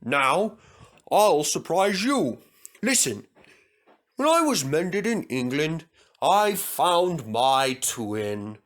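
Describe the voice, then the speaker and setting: A man voicing a kindly, very old male steam engine in a storybook reading, slow and gentle. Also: on the high side, sing-song, exaggerated voice. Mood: fond.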